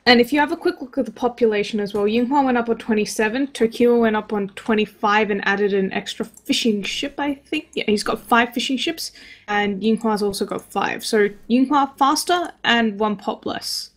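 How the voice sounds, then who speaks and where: A woman's voice: fairly high pitch, moderate pace. A woman giving live, conversational commentary on a video game match. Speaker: esports match caster.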